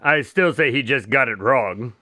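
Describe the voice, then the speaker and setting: A man acting a skeptical, easily exasperated doctor and detective's sidekick in a radio drama, with a wry, measured delivery. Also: medium pitch, sharp tone, dry recording, natural voice.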